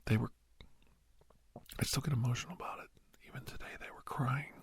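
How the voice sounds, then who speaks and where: A man playing a middle-aged doctor who reminisces earnestly in a soft, half-whispered ASMR roleplay, breathy and halting, close to the microphone. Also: steady tone, natural voice. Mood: emotional, sorrowful. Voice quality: breathy, husky, low. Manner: halting, hushed, dramatic pauses. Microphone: close, dry microphone.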